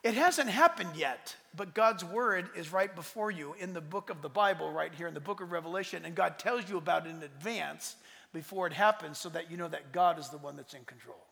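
A man preaching a fervent sermon as pastor, swinging between shouted declarations and hushed asides. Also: fairly high pitch, a little fast, vocal fry, big-room sound.

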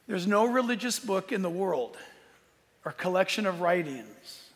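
High-voiced, gravelly man in an echoing hall. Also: big pitch swings, measured pace. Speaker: Bible teacher and tour leader. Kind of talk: informal preaching talk to an audience. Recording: long hall reverb.

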